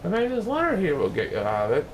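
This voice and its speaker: Caring storybook friend read by a man: eager, earnest, fairly high voice, noisy background. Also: wildly swooping pitch, racing. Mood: explaining, relieved. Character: caring man, a little monkey's loyal friend.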